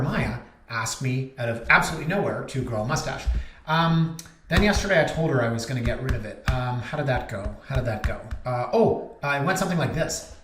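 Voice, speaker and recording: Brief: realtor in his thirties, chatty and self-mocking, mid pitch, recorded in a roomy space. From Canada. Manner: chatty, joking, self-deprecating. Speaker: realtor and vlog host. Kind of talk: casual rambling talk to camera. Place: office.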